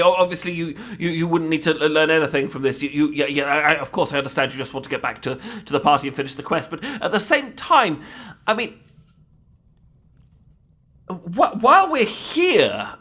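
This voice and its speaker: Stammering, high-voiced British knight. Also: male voice, pushed and bright, exaggerated voice. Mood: flustered, nervous. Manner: stammering, flustered, apologetic, repeats words. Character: bumbling, adorkable, lovestruck, nervously polite male knight. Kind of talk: comedic fantasy audio roleplay.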